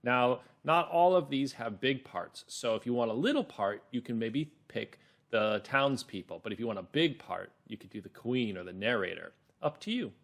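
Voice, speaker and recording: A man's voice: medium pitch, expressive, normal speed. A man leading a read-along lesson for children, explaining things simply and expressively.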